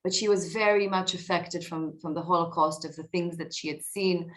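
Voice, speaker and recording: A woman in her forties with an Israeli accent, talking about her life on a video call from her home basement, a little hoarse from a cold, reflective, with many fillers and restarts. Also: on the low side, steady tone, moderate pace, a bit bright, rough recording. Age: 40s. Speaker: guest speaker. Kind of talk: personal talk over a video call.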